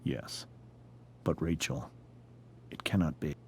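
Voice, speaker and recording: A man playing a stern, protective middle-aged father in a video game drama, speaking gravely and deliberately. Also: extremely low pitch, full character voice. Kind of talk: dramatic game cutscene acting.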